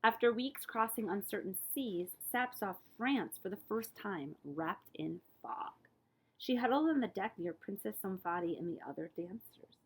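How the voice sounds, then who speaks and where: A woman reading a children's story aloud as a librarian, with expressive emphasis and phrases that often fall hushed. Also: medium pitch, normal speed, husky, rough recording.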